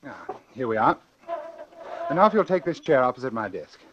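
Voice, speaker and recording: A man's voice: medium pitch, big pitch swings, slight background noise, lightly acted. A man playing a coolly calculating, confident doctor obsessed with his theory, speaking precisely and formally in 1940s radio suspense style. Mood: smooth, persuasive. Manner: precise, formal, deliberate diction. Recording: dull old radio broadcast with music.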